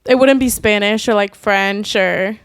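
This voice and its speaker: A woman's voice: mid-range voice, unhurried, clean tone, no echo, clean studio sound. A young woman from Boston co-hosting a podcast, reflective and questioning in casual chat. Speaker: podcast co-host.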